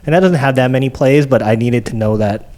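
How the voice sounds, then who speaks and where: Dj guy just chatting on a podcast, normal mid pitch, casual slangy talk. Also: fast talker, darkish tone. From California, United States. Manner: casual slangy speech with mild swearing. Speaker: DJ and podcast participant.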